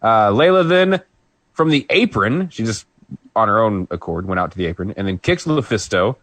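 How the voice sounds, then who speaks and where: A man's voice: fairly low pitch, animated, moderate pace, fairly bright tone, dry recording. A man co-hosting a podcast, recapping a wrestling match in a casual, rambling play-by-play with asides. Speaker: podcast co-host. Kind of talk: casual wrestling review chat.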